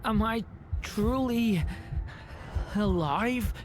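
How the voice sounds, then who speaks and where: A woman voicing a gentle, earnest, hesitant young dragon boy in a video game. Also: fairly high pitch, very slow, slight background noise. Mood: weak, struggling. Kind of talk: video game cutscene acting.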